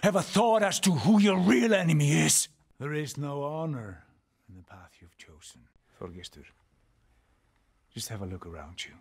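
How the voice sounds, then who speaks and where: A man playing a weary, haunted Norse settlement leader in a video game, speaking gravely and deliberately in a husky, breathy voice. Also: on the high side, lively intonation, dry recording, exaggerated voice. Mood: stern, pleading. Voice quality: husky, breathy, often near a whisper. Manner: slow, deliberate, grave, with pauses. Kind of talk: dramatic video game acting.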